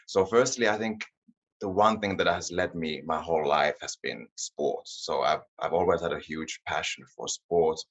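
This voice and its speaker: A man's voice: sits low, normal speed, husky. A man from Finland, a non-native English speaker, answering interview questions modestly and often repeating words as he searches for them. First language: Finnish. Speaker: guest, performance coach. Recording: dull lo-fi audio.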